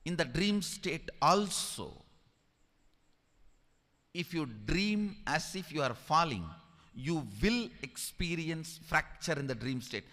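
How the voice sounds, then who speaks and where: A man with an Indian accent delivers a spiritual sermon in a slightly high voice that swings widely in pitch. He is emphatic and instructing, repeating key phrases for his listeners. The sound rings with the long reverb of a large hall. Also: animated, slow pace, bright, forceful tone. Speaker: spiritual teacher giving a satsang discourse. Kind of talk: sermon-like spiritual discourse to an audience.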